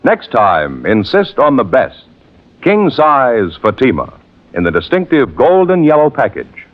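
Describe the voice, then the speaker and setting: A man's voice: medium pitch, huge pitch swings, a little slow, slight background noise. A man reading an emphatic, salesmanlike 1950s radio commercial as an announcer. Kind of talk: 1950s radio advertising pitch. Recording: tinny vintage radio recording.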